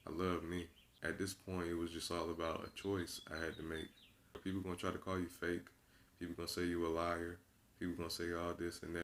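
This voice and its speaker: A young man in his twenties with a low voice delivers an unscripted monologue to his viewers. He is blunt and profane, preaching his point and circling back on the same phrases for emphasis. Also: fairly bright tone. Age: 20s. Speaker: vlogger.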